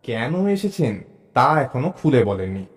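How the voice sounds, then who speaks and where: A man narrates a scripted dramatic story. His mid-pitched voice is measured and expressive, with music playing behind him. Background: musical background.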